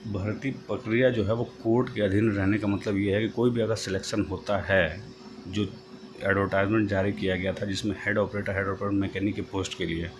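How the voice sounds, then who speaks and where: Low male voice, informal explainer, halting delivery, English terms mixed in, clearly noisy home recording. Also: fairly even intonation. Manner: halting, repeats and rephrases, mixes English terms into speech. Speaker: host of a job-news channel. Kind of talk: informal explanatory update, reading from a document. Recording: noisy home-made voice-over, background noise.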